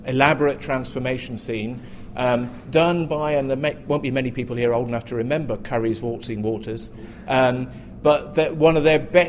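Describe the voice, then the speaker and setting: A man in his sixties speaking as a panellist, telling anecdotes in a discussion. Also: medium pitch. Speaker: panellist, theatre author. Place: theatre, live panel discussion. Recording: live recording with faint noise.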